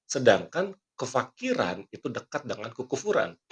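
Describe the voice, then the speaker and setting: A man hosting a motivational podcast, teaching earnestly and quoting scripture and sayings, often clearing his throat while he talks because he is not fully well. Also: mid-range voice, big pitch swings, moderate pace. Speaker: magician and motivational speaker. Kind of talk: motivational podcast talk with a magic trick demo.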